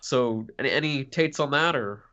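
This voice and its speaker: A man's voice: medium pitch, normal speed, pushed and bright. A man asking casual questions as a podcast panelist, on a slightly dull microphone.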